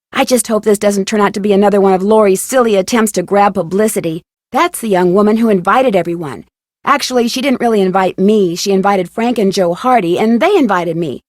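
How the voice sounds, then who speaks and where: A woman's voice: mid-range voice, brisk, no room sound, studio quality, lightly acted. A woman playing a plucky, wry young amateur detective, chattily narrating her letters in a video game. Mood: bright anticipation. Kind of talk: scripted game narration, reading letters aloud.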